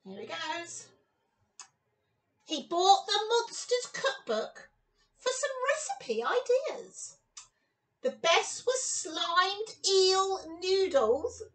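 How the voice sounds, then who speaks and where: A woman in her fifties giving an expressive storytime read-aloud for young children, with exclamations and chatty asides. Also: very high voice, distant mic, rough recording. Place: small room at home, indoors.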